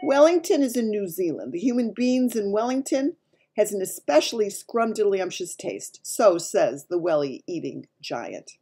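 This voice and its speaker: A woman's voice: medium pitch, sing-song, a little slow, dark tone, slightly rough, pitched above the performer's own voice, full character voice. A woman voicing a big male giant from a children's storybook, blustery and emphatic, with muddled grammar and invented words. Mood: triumphant, emphatic. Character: big friendly giant man, blustery, mangles his words.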